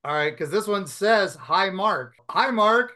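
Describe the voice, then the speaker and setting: Upbeat bright-voiced male podcast host. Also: high-pitched, animated, a little slow. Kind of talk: casual group chat, reading listener emails.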